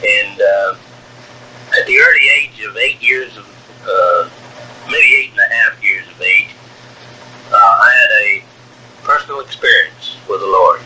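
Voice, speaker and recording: Slow-talking older man on hissy cassette. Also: lowish voice, sharp tone, rough recording. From Wyoming, USA. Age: 60s. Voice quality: strong voice. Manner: slow, halting, many fillers and restarts. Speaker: narrator of own life story. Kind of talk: taped personal memoir. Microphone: cassette recorder. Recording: old home cassette tape with hiss.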